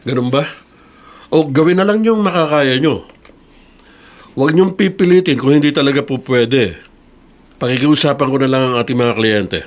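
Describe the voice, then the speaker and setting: An actor playing a reasonable, accommodating Filipino office boss with a measured, conciliatory radio drama delivery. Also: male voice, mid-range voice, lightly acted. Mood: apologetic, practical. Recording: faint music behind.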